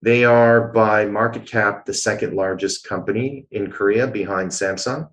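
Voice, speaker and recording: Low-voiced man explaining. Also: fairly even intonation, moderate pace, fairly bright tone, low-quality recording. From Canada. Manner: explanatory, frequent filler sounds. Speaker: guest, blockchain executive. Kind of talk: conversational interview answers.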